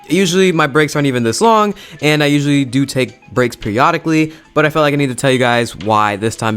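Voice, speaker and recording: A man's voice: medium pitch, a bit bright. A young adult man giving a casual, enthusiastic and emphatic video movie review in slangy language. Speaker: YouTube reviewer.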